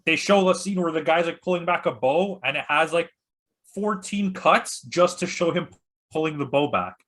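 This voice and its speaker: Bright, higher-voiced man in casual chat. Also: animated, moderate pace. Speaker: podcast host discussing films.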